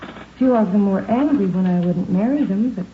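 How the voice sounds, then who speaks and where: A thin, phone-like old radio recording with faint noise holds a woman acting as a young churchgoer. She is anxious and earnest, pleading her case in a fretful voice of medium pitch. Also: moderate pace, natural voice. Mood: troubled. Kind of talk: 1950s radio drama acting.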